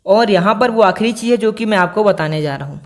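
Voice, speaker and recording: A man's voice: high voice, expressive, fast. A man giving a spoken singing lesson, patient and explanatory, repeating key points and posing rhetorical questions to his students. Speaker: singing teacher.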